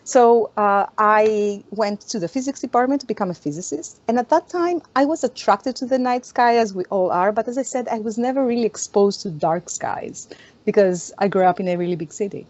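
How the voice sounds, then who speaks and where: A woman from Greece, an astronomer answering interview questions in an animated, storytelling way, often laughing. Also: medium pitch, brisk, lo-fi sound. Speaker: astronomer, guest. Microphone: video call.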